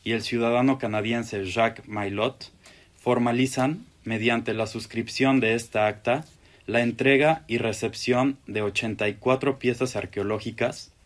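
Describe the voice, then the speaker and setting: Man, official, formal ceremonial read, mid-pitch. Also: fairly even intonation, measured pace.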